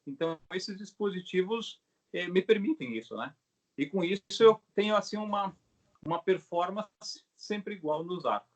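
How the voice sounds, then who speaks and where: Higher-voiced middle-aged Brazilian man chatting. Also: lively intonation, normal speed. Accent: Brazilian Portuguese. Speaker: craftsman, bow maker being interviewed. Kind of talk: casual explanatory chat. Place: video call.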